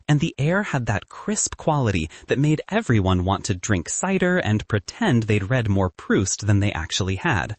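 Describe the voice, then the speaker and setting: A man's voice: mid-range voice, slightly rough, dry recording. A man reading scripted fiction as an audiobook narrator.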